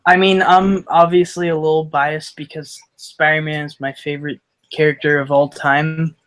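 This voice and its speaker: A man in his late teens chatting casually, eager and quick to giggle. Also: on the high side, flat delivery, fairly bright tone. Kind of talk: casual chat.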